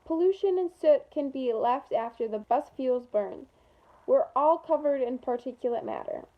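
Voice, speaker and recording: A woman's voice: high-pitched, animated, moderate pace, muffled recording. A woman reading the part of a studious, matter-of-fact storybook schoolgirl who explains facts from her research. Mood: explaining.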